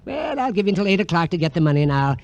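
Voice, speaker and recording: Sly, swooping-voiced landlord. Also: male voice, high-pitched, very animated, rapid-fire, darkish tone, muffled recording. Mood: insistent, smug. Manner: coy, precise, needling. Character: sly, fussy, unyielding rent-collecting landlord. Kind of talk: 1950s radio drama acting. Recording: faintly noisy old radio recording.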